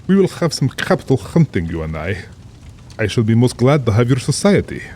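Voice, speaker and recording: A man's voice: medium pitch, very animated, a little fast, darkish tone, slight background noise, close to an everyday voice. A man playing a cultured, proud general and big-game hunter in an audio drama, speaking formally and boastfully with polished self-assurance. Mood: eager, secretive. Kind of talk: full-cast audio drama acting.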